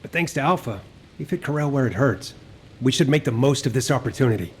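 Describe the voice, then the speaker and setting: A man's voice: medium pitch, lively intonation, quick pace, slight background noise, lightly acted. A man voicing a teasing squad leader. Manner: teasing, commanding. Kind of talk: video game cutscene voice acting.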